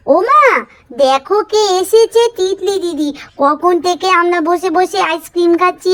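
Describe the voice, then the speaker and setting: A woman's voice: very high voice, a bit bright, voice pushed up. A woman voicing a demanding, chatterbox little girl doll in a story, with a whiny, pouty, childish manner.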